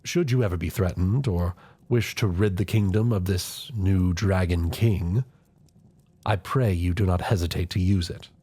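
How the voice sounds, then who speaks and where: A man playing a grave, scheming royal chancellor in an ASMR fantasy roleplay, speaking in a formal, measured, courteous way. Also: sits low, warm tone, slight background noise. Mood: grave, urging. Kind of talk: ASMR roleplay audio drama.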